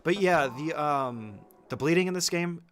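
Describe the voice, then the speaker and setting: A man's voice: a little high, expressive, moderate pace, soft background music. A man giving an informal, step-by-step speedrun tutorial as casual gameplay commentary, swearing freely.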